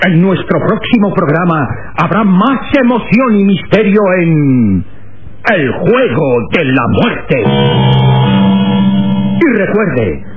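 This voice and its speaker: An actor as the suspenseful, declamatory narrator of an adventure radio serial. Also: male voice, sits high, big pitch swings, takes it slowly, long pauses, exaggerated voice. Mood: grand, suspenseful. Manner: dramatic, declamatory. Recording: tinny old radio recording, loud music.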